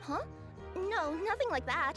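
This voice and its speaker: A woman's voice: very high voice, animated, measured pace, music in the background. A woman voicing an earnest young female cat yokai courier who is flustered and eager to please, in an anime-style video game. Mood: evasive. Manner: flustered, hesitant, apologetic.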